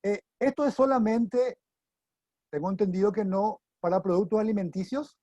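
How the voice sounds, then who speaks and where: A man's voice: high voice, moderate pace, dark tone, no room sound. A man with a Latin American Spanish accent casually moderating an online interview as its host. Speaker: webinar host. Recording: dry, dull online call audio.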